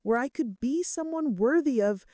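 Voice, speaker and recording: A brooding young mafia boss, as a woman narrator plays him, confessing with earnest intensity and remorse. The pitch swings in a lively way, and the sound is dry and close, as in a romance audiobook. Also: moderate pace, no room sound. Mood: tender, confessional.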